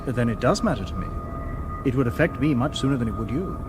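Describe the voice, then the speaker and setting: An actor playing a humanlike robot detective in a radio drama, calm, literal and dutiful, with formal, precise, measured wording. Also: male voice, medium pitch, music in the background, natural voice. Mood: calm, matter-of-fact. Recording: noisy old radio drama soundtrack.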